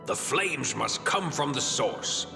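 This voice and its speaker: A very gravelly, earnest fantasy blacksmith. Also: male voice, medium pitch, expressive, slow, full character voice. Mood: solemn, resolute. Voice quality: gravelly, creaky. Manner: solemn, formal, theatrical delivery. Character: gruff, earnest, loyal veteran dwarf blacksmith. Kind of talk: fantasy video game voice acting. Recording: echoey hall reverb, music behind.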